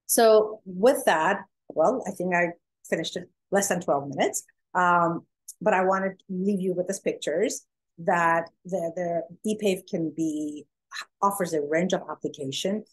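A woman's voice: lowish voice, measured pace, breathy edge, poor audio. A woman in her forties from Iran, a guest speaker explaining her business on a video call, earnest with many fillers. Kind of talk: presentation and interview answers. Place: home room.

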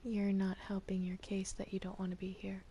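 A woman playing a sly, needling villainess who taunts her captive in a hushed voice in a Minecraft roleplay. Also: mid-range voice, monotone, normal speed, a little hiss or hum, natural voice. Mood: sly taunting.

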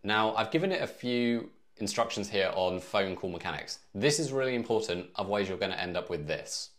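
A man in his thirties with a notably low voice. He walks through software step by step in a casual tutorial style, recorded in a small home office. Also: animated, brisk. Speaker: tutorial presenter.

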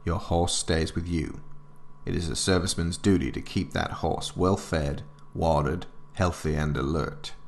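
A man's voice: extremely low pitch, vocal fry, slight background noise. A man narrating a military handbook in a formal, grave, instructional manner, as scripted drama.

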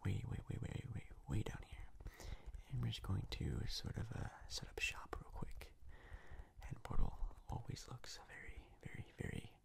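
Whispering, gravelly man doing ASMR commentary. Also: fairly low pitch, very animated, moderate pace. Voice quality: breathy, husky whisper. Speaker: gaming video host. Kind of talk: soft-spoken whispered ASMR game commentary.

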